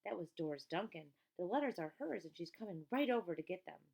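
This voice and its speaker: Storybook lead: well-mannered young boy played by a woman, earnest, plain-spoken, easily flustered, slight creak. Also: on the low side, animated, quick pace, a little mellow. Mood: explaining. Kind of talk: children's audiobook character voice.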